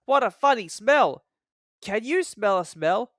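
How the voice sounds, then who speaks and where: A man's voice: sits high, expressive, a little slow, a bit bright, dry recording, clean studio sound, voice pushed up, full character voice. A man voicing a cheeky, defiant young tank engine in an exclamatory, teasing way. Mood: smug teasing.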